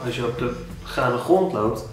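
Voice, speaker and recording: A man in his thirties with a Dutch accent, giving home-buying tips in a casual, animated vlog with many restarts. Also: mid-range voice, a little slow. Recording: very echoey room, a bit distant.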